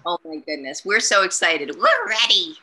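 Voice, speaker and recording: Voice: medium pitch, wildly swooping pitch, normal speed, bright, forceful tone. A woman's voice hosting a children's storytime with casual, enthusiastic, playful banter. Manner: enthusiastic, exclaiming.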